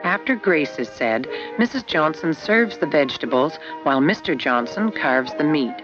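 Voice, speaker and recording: Scripted documentary narration, measured storytelling, muffled 1970s film sound, clear musical backing. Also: medium pitch, animated. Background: guitar music.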